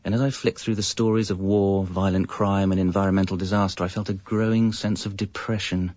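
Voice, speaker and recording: Dry, low-voiced slacker narrator played by a man. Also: flat delivery, brisk, a little mellow, dry recording, natural voice. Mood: wry narration. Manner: dry, self-justifying. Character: lazy, evasive slacker jingle composer telling his story. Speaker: narrator and lead character. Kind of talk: scripted comedic first-person narration, radio comedy. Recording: slightly muffled radio recording.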